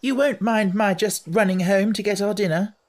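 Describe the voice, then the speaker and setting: Brief: reader doing a pitched-up boy, breezy and sly. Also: moderate pace, lightly acted. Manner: offhand, innocent-sounding. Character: sly schoolboy with a secret plan.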